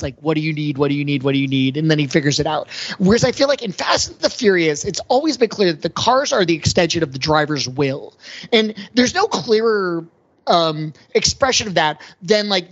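A man co-hosting a podcast, casually analysing films in an earnest, halting way with repeated words. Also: a little high, highs cut off. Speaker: podcast co-host.

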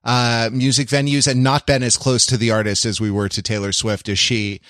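A man's voice: medium pitch, little pitch movement, moderate pace, a bit bright, no echo, studio quality. An American man co-hosting a podcast, telling stories casually in a rambling, wry, erudite manner. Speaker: podcast co-host. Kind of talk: casual conversational anecdote telling.

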